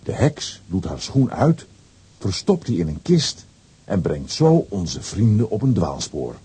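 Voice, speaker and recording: A man narrating a scripted children's audio story with a husky voice and wry asides. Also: low voice, wildly swooping pitch, unhurried, mellow.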